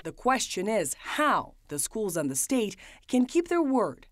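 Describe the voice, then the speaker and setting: A woman's voice: mid-range voice, sing-song, a little slow, dry recording, clean studio sound. A woman news reporter delivering scripted narration for a broadcast story.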